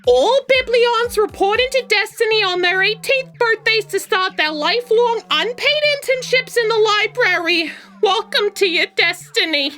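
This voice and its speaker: A woman voicing an exasperated female alien clerk in a scripted audio comedy, brisk and theatrical. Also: very high voice, bright, forceful tone, soft background music. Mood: brisk, matter-of-fact.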